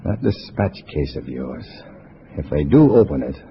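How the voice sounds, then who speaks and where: An actor playing a cool undercover American agent posing as a comrade, colloquial and composed, in 1950s radio drama style. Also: male voice, extremely low pitch, very animated, normal speed, vocal fry, heavily acted. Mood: conspiratorial. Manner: colloquial, hard-boiled, composed. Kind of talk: 1950s radio drama acting with first-person narration. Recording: dull, noisy vintage radio recording.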